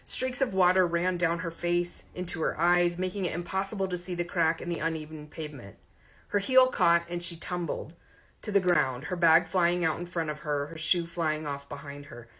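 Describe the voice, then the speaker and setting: Female audiobook narrator, lower pitch, expressive romcom storytelling. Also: moderate pace. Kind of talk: expressive narration of romantic comedy fiction.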